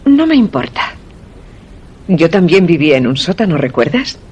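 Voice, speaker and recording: A woman's voice: fairly low pitch, very animated, moderate pace, darkish tone, slightly rough. An actress playing an enigmatic, guarded young woman in a radio play, speaking tersely and evasively but firmly. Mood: quietly firm. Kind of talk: Spanish radio play acting.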